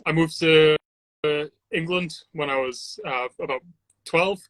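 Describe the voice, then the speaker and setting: Bright-voiced man from Trinidad, casual. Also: fairly high pitch, unhurried, dry recording. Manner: relaxed, rambling with many fillers and restarts. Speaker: guest, sound engineer and videographer. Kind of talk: casual chat on a video call. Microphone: Bluetooth headphones, then computer mic.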